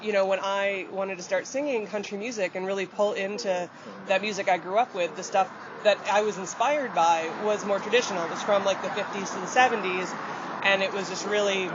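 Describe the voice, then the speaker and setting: Woman country singer from Michigan, somewhat deep voice, relaxed chatty interviewee, muffled street recording. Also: brisk. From rural Michigan, USA. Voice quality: deeper voice. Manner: chatty and open, with frequent fillers and light laughs. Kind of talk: casual interview answers. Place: outdoors on a city street. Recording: dull outdoor audio with loud traffic.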